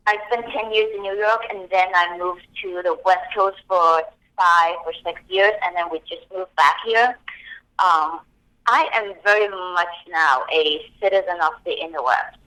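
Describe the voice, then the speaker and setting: A woman's voice: on the low side, measured pace, sharp tone, rough recording. A woman from Hong Kong whose first language is Cantonese, a copywriting expert explaining things step by step as a podcast guest. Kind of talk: podcast interview.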